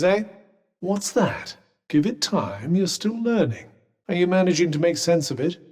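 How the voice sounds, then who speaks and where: A man plays a sardonic British magical cuff, a pompous male video game companion. His fairly high voice rises and falls expressively over formal, droll phrasing. A long reverberant tail hangs on every line. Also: close to an everyday voice.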